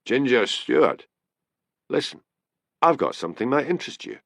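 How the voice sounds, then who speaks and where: A man voicing a shifty, eager small-time informant with a Scottish accent and an excited, matey, colloquial manner. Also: normal speed, vocal fry, dry recording, clean studio sound. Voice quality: deep.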